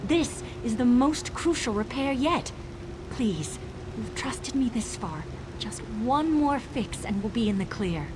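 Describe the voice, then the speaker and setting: A woman voicing a flustered but self-assured repair technician in a video game, brisk, defensive and insistent. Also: fairly high pitch, animated, noisy background. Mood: insistent, pleading. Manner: defensive, brisk, covering doubt with confidence. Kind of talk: video game character dialogue.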